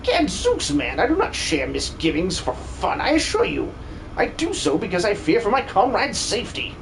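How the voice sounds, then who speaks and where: A man's voice: sits high, wildly swooping pitch, moderate pace, vocal fry, slight background noise, heavily acted. A man voicing a pompous, gluttonous old soldier with theatrical, old-fashioned exclamations in a video game fan dub. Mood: indignant, alarmed. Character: blustery gluttonous old bearded soldier, paranoid about spies.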